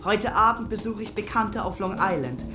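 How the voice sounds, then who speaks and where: An actor playing a naive young man who talks like a stiff, formal gentleman in a German radio play. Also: high voice, lively intonation, normal speed, over music. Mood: stiffly formal.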